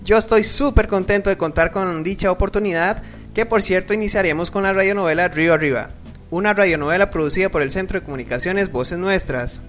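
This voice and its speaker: A man's voice: high-pitched, fairly bright tone. A man presenting and interviewing as a host, polite and formal in his address. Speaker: program host. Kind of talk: radio-style interview and presenting.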